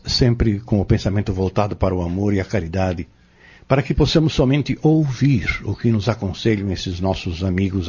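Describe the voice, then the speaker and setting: An actor playing a patient spiritist mentor in an audio drama, with a Brazilian accent and a formal, measured, preacherly delivery. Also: male voice, lowish voice, warm tone, husky. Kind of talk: audio drama acting, didactic monologue. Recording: dull lo-fi audio.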